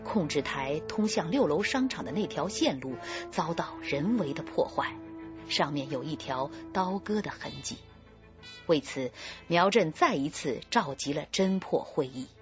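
A woman's voice: sits low, lively intonation, measured pace, highs cut off. A woman narrating a radio crime drama as a composed storyteller. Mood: composed narration. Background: music.

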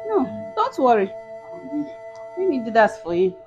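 A woman plays a polite, trusting wife with a lively, fairly high voice that rises and falls a lot. Clear music plays behind her. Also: measured pace, lo-fi sound.

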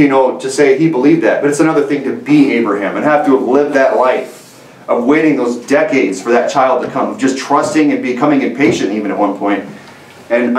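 A man in his thirties preaching a sermon in a small church room, earnest and repetitive, often restarting his phrases. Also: fairly high pitch. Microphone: camera mic from a distance. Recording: roomy sound from a distant mic.

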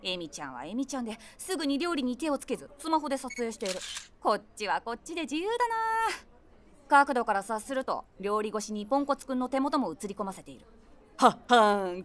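A woman's voice: a little high, sharp tone. An actress playing a nosy, imaginative middle-aged woman who narrates a comic audio drama with wry, theatrical asides. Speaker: narrator and main character.

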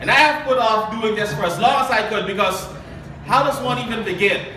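A young man with a high-pitched voice performs spoken word poetry at a live event. His delivery is earnest and deliberate, shaped by dramatic pauses. The sound is very echoey and picked up from a distance, with clear noise. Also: bright, forceful tone. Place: small live open-mic event. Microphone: handheld and stand microphone, heard from a distant camera.

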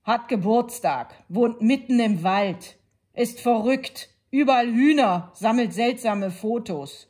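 A woman's voice: a little high, animated, slow pace, voice pushed up. A woman voicing a bored, sulky little girl in a storybook, grumbling in blunt lists.

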